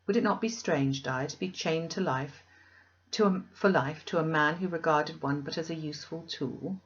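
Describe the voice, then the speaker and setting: A woman reader playing an earnest, resolute young woman in a classic novel, measured and formal. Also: deep voice, dull audio, lightly acted. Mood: earnest, aggrieved.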